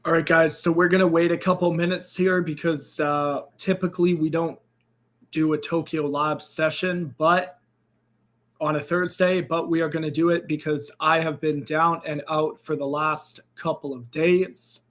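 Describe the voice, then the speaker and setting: A man with a fairly high, slightly raspy voice, still a little hoarse from losing it. He hosts a live trading stream in a loose, upbeat way, greeting viewers by name and filling gaps with "uh" and "um". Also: level tone, moderate pace, a bit bright. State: slightly hoarse, recovering from a lost voice. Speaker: crypto trading livestream host. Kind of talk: casual livestream chat.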